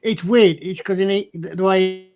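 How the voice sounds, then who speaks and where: A man's voice: high voice, steady tone, moderate pace, darkish tone. A Scottish man on a casual panel, hesitant and repeating words as he thinks aloud. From Scotland.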